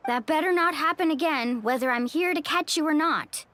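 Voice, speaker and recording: A woman's voice: high voice, normal speed, a bit bright, no echo. A woman voicing a caring, loyal young warrior, earnest and emotional, in a dramatic video game style. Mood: hurt, worried.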